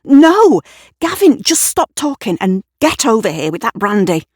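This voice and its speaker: Audio drama neighbour , played by a woman: female, bossy, kind-hearted, insistent, voice pitched up, brisk delivery. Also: very animated, darkish tone, dry recording, clean studio sound. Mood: flustered, bossy. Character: Shirley, bossy, caring, nosy female neighbour.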